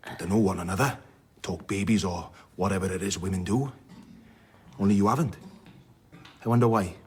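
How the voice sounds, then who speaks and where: An actor playing a sardonic, blunt, worldly doctor in a radio drama, wry and plain-spoken with a husky voice. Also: male voice, sits low, fairly quick, darkish tone. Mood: needling. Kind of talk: radio drama acting with narration.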